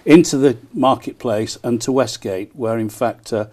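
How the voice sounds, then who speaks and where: An enthusiastic man promoting an event as a broadcast interview guest, often pausing on small hesitations. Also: mid-range voice, darkish tone. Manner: enthusiastic, frequent hesitant ers. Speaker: town crier and festival promoter, interview guest.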